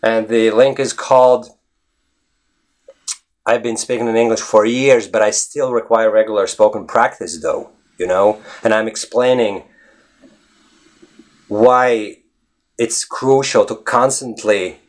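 Low-pitched Latvian man explaining to viewers. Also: fairly even intonation, a little slow, pauses often. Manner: emphatic, conversational, often checks with "you know what I mean". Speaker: online English-learning vlogger. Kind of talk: casual explanatory talk to viewers.

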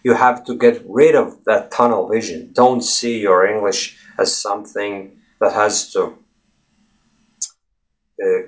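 Low-voiced man giving casual English advice. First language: Russian. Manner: relaxed, conversational, many "uh" pauses, repeats key phrases. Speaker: English fluency coach. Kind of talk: casual spoken lesson to viewers.

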